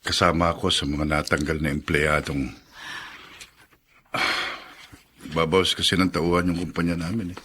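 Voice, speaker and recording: Casting: a sickly working husband, husky, forward voice, hesitant, for radio drama. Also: male voice, sits low, flat delivery, moderate pace, long pauses, bright, forceful tone, heavily acted. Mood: downcast, reassuring. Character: ailing laid-off husband who brushes off his illness.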